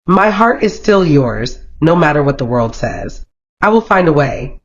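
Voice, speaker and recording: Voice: mid-range voice, very animated, unhurried. A storyteller voicing a defiant young woman desperately in love, passionate and pleading, in a folktale. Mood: devoted resolve. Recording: dull, lo-fi sound.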